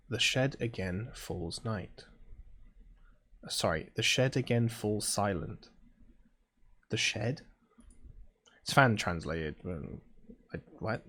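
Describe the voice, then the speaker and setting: Low-key British man. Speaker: gaming video host playing a visual novel. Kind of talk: casual playthrough chat and reading game text aloud.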